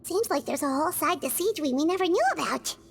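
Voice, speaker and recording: A woman voicing a tiny, childlike fairy companion, cheeky, curious and excitable, in a video game. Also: sits high, quick pace, creaky, a little hiss or hum. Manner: speaks of herself in third person.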